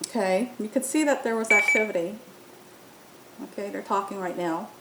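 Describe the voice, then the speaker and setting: Woman, medium pitch, informal step-by-step software demo presenter; roomy sound with faint rumble. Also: moderate pace. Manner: explanatory, step by step. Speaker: app tutorial presenter. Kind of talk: informal software walkthrough narration. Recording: roomy echo, faint rumbling background noise.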